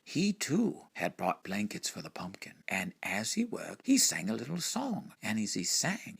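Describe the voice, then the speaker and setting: A man reading a children's story aloud in an expressive way, with dramatic emphasis and hushed phrases. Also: lowish voice, vocal fry, breathy edge. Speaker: storybook reader. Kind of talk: animated children's story narration.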